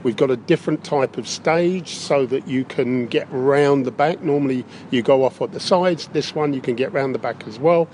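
A man's voice: medium pitch, normal speed, hardly any pauses, darkish tone. A man giving upbeat, enthusiastic, unscripted interview answers, recorded on location with some background noise. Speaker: interview guest. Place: inside a big top tent in a park.

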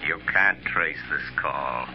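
Mysterious crime fighter, very low voice, ominous and deliberate, old radio drama, dull noisy recording. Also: male voice, creaky, faint music behind, heavily acted. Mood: commanding, cool. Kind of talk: 1940s radio drama acting.

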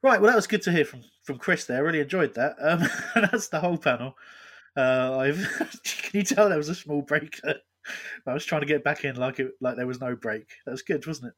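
A man's voice: on the high side, normal speed, fairly bright tone. Joking man hosting a football podcast. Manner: joking, teasing. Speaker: podcast host. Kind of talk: casual football podcast chat.

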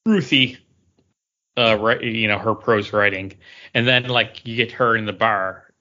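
A man co-hosting a podcast, casually giving a review as animated anecdote. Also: on the low side, muffled recording. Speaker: podcast co-host reviewing comics. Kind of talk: casual talk, book review.

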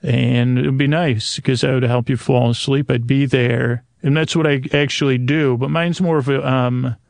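Droning, meandering man with a creaky voice. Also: medium pitch, fairly even intonation, darkish tone, dry recording. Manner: meandering, halting, droning, whimsically tangential. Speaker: podcast host. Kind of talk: rambling, improvised bedtime podcast monologue.

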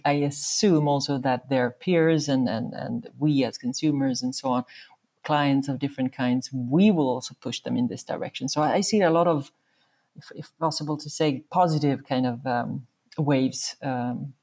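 A woman with a Swedish accent conducting a conversational interview, haltingly searching for her words. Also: extremely low pitch, fairly even intonation, darkish tone. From born in Serbia, raised in Sweden.

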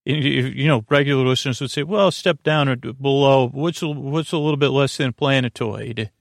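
A man hosting a sleep podcast with a meandering, halting monologue that drifts off topic and trails off mid-sentence, in a creaky, gravelly voice. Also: mid-range voice, no echo, studio quality. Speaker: podcast host.

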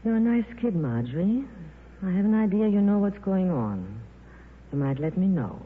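An actress playing a worldly, soft-hearted film star in a 1950s radio drama, with a wry, breezy, clipped manner. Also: female voice, mid-range voice, sing-song, moderate pace, lightly acted. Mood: wistful. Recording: tinny, noisy old radio broadcast.

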